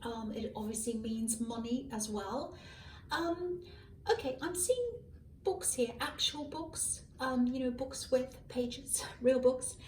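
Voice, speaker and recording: A hoarse woman giving a casual tarot reading, musing aloud with many ums and self-corrections, recorded on a faulty microphone. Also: high voice, animated, slow, darkish tone, slight background noise. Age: adult. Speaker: tarot reader. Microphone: malfunctioning microphone at a distance. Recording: echoey room, far from a faulty mic. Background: faint passing cars.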